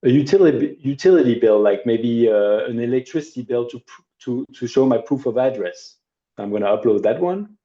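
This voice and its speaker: A middle-aged man teaching a workshop over a video call, demonstrating a service in a relaxed, conversational way with hesitations and asides. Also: medium pitch, a little fast. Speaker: workshop instructor. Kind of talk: live online training demo. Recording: dull lo-fi video call audio.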